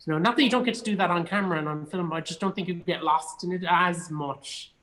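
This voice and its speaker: A man's voice: high-pitched, fast talker, fairly bright tone. Irish actor chatting, a man telling anecdotes. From Cork, Ireland. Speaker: actor, interview guest. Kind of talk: casual chat, anecdotes.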